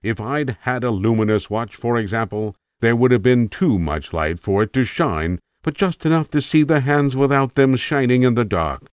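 A man's voice: medium pitch, expressive, normal speed, few pauses, smooth, dry recording, studio quality, voice pushed up, natural voice. A man voicing an eccentric, opinionated old tramp telling a rambling tale in an emphatic, self-assured way, as an audiobook character. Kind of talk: acted audiobook character monologue.

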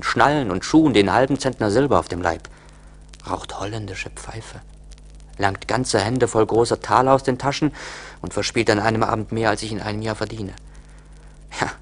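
An actor playing a poor, discontented young charcoal burner in a 1980s radio play, musing theatrically in envy and longing. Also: male voice, fairly low pitch, a bit bright. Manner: theatrical, brooding monologue.

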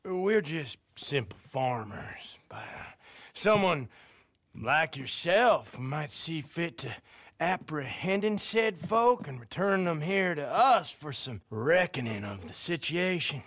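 A creepy backwoods farmer in a western game, high and lilting with a slow, leering drawl. He giggles through his lines, and the voice sounds dry and close. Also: very animated, a bit bright, dry recording, exaggerated voice.

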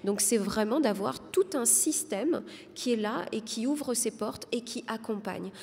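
A woman in her thirties making her case as a panelist in a televised debate, speaking into a desk microphone, articulate but pausing often to find her words. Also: a little high, animated, creaky, a little mellow. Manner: articulate, persuasive, frequent hesitations. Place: large studio hall with audience. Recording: long reverb of a large hall.

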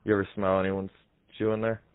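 A young man speaks in a low voice with a slightly dulled, dry sound. He rambles earnestly, as a prank caller improvising on the phone. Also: little pitch movement, fast, smooth, dry recording, narrow, tinny audio. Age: young adult. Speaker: prank caller on a comedy show.

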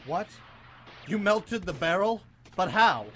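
Man playing a slow, emphatic school gunman. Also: sits high, animated, fairly bright tone, exaggerated voice. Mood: shocked, scared. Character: tough mocking gunman who grows awed and frightened. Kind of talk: student live radio theatre acting. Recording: noisy live radio play with gunfire effects.

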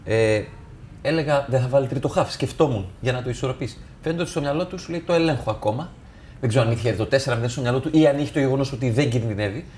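A man's voice: mid-range voice, a little mellow, a little hiss or hum. A man talking as a football analyst, walking through match clips step by step and addressing viewers. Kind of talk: tactical match analysis over video.

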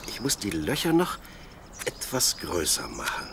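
A man playing a sleepy, cheerful young shepherd boy in an audio play, with a playful and expressive manner. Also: low voice, a little slow, noisy background, exaggerated voice. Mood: musing. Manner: playful, expressive, talks to himself and his sheep.

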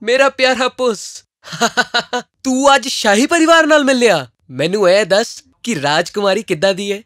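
A man's voice: high-pitched, moderate pace, a bit bright. A man voicing a poor, earnest and easily amazed young cat owner in a children's fairy tale cartoon. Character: poor, anxious, good-hearted young master of a cat.

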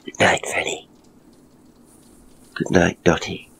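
Man doing a gentle breathy young woman, spooky story, hesitant n slow, bit of noise. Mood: soft, reluctant.

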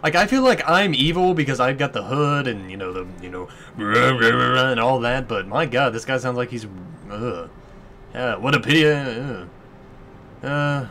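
A male let's play commentator reacts casually over game cutscenes in a rambling, joking way. His pitch is average, with faint noise behind him. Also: expressive, normal speed, pushed and bright.

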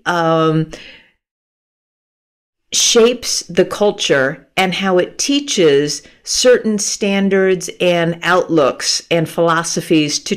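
Woman in her 50s talking slow on a call, earbud mic, patient explainer. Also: lowish voice, clean tone. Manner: thoughtful, laughs often, explains patiently. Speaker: guest author. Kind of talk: conversational interview. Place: room with bookshelves. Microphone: earphone mic on a video call.

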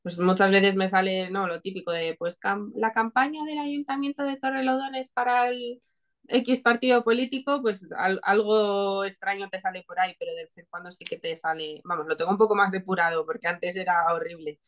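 A woman's voice: medium pitch, fairly even intonation, moderate pace, fairly bright tone. A woman in her twenties with a Spain Spanish accent, chatting informally as an interview guest on a video call. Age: 20s. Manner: informal, conversational. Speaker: interview guest, marketing specialist. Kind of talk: casual chat. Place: small home room, indoors.

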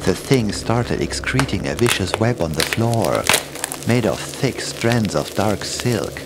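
A man's voice: fairly low pitch, expressive, slow pace, very noisy. A man reading a scripted first-person horror story as its narrator. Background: music behind the voice.

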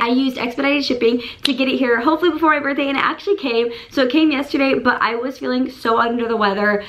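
A woman in her thirties with a hoarse voice, chatting casually to camera in her bedroom as she unboxes and shows off dresses, gushing and full of fillers. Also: high-pitched, a bit bright. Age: 30s. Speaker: beauty and fashion YouTuber. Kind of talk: casual try-on haul chat. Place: bedroom indoors.

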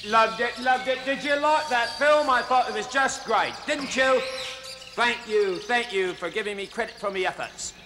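A man's voice: extremely high pitch, moderate pace, background noise. An actor playing a jolly, chatty male zoo host who gushes to the audience and coos at the animals. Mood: gushing. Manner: chummy, gushing, talks to the audience and animals. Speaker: zoo show host character. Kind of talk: comedy sketch acting.

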